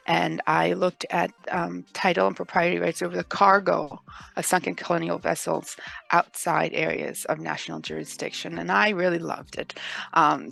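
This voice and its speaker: Gravelly low-voiced woman from Mexico. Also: fairly bright tone. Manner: thoughtful, many ums and uhs. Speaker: guest legal expert. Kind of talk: interview answer.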